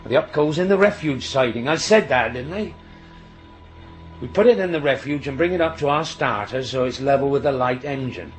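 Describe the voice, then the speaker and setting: An actor playing a gruff, irritable signalman and father in a radio drama, with a brusque, impatient manner. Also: medium pitch, expressive, moderate pace, soft background music, lightly acted. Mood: busy, brisk. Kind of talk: naturalistic radio drama acting.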